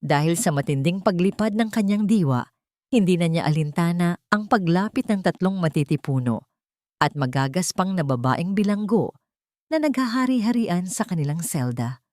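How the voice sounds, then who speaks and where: A woman reads a scripted dramatic story as narrator, in a measured, literary storytelling manner. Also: fairly low pitch, expressive, a little mellow, no room sound, clean studio sound.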